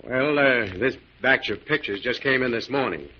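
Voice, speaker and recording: An actor playing a skeptical, exasperated American police inspector in 1940s radio style, gruff and grumbling, on a muffled old recording. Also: male voice, mid-range voice, normal speed, close to an everyday voice. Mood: baffled, grumbling.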